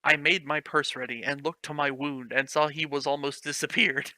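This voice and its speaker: Bright-voiced man reading with mock drama. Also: on the high side, flat delivery, moderate pace, no room sound. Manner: reads stiff prose with mock drama, breaks into laughter. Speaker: host reading fan fiction aloud. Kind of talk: comedic dramatic reading with commentary.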